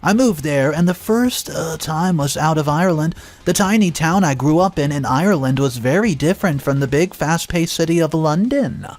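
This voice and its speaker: A man reading a first-person drama story aloud in a calm, even storytelling manner, with an occasional filler. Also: on the high side, moderate pace, hardly any pauses, soft background music. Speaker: story narrator.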